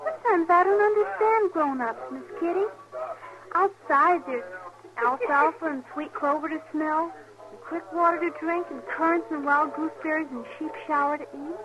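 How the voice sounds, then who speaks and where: A woman's voice: high voice, moderate pace. An actress playing an innocent, earnest little frontier girl with a rural American accent in an old radio Western. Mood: wondering, wistful. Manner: earnest, artless. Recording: dull vintage radio recording with music.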